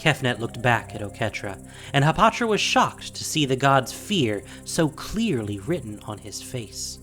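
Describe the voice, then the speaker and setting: A man's voice: mid-range voice, sing-song, measured pace, a bit bright, soft background music. A man narrating a scripted fantasy audio drama in a dramatic storytelling style.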